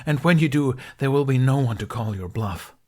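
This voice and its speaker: A man's voice: mid-range voice, lively intonation, moderate pace, voice pushed up, close to an everyday voice. A man voicing a furious, grieving middle-aged knight who speaks in a heated, accusing, raised voice in an audiobook reading. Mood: bitter.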